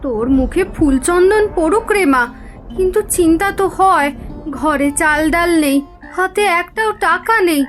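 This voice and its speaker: A woman voices an anxious cartoon village mother. She is fretful and complaining, a nagging wife in a poor household. The voice is extremely high, with faint music underneath. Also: expressive, normal speed. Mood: worried. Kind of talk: Bengali fairy-tale cartoon acting.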